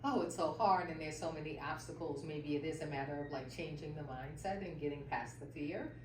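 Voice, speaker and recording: A woman with a notably low voice interviews a guest as a career coach, affirming him warmly and often asking "right?" She sounds a little distant from the mic in an echoey room. Steady background noise runs underneath her voice. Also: a little fast. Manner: affirming, frequent "right?" and "awesome". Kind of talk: encouraging interview questions.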